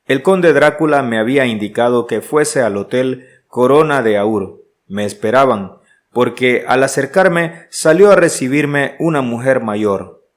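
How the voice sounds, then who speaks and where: An actor playing an earnest, uneasy traveller who narrates his diary in measured, literary style for a radio drama. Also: medium pitch, lightly acted.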